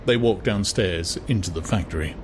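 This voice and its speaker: A man's voice: sits low, normal speed. A man narrating a literary novel as a dramatized audiobook. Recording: clear background noise.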